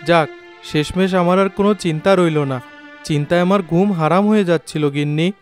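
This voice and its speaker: An earnest, poor village shopkeeper played by a man talks in cartoon dialogue. His voice is a bit high for a man. Music is clearly heard behind him. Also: normal speed, natural voice. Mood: relieved.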